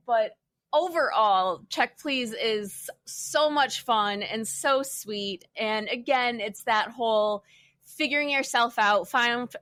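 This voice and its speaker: A woman co-hosts a podcast in an informal, conversational way. Her pitch is average for a woman, and the sound is dry with no room echo. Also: a bit bright. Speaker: podcast co-host. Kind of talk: casual podcast chat.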